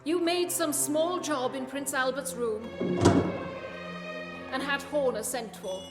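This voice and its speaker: A woman playing a coolly confident, commanding detective in a radio play, speaking with measured authority. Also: high voice. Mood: coolly triumphant. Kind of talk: festive radio play acting. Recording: long hall reverb, loud music underneath.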